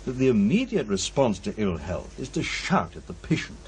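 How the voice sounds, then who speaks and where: A man playing a shrewd, proud old professor who investigates ghosts in an audio drama, speaking in a measured, pedantic, testy way. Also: lowish voice, big pitch swings, darkish tone. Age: older. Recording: faint background noise.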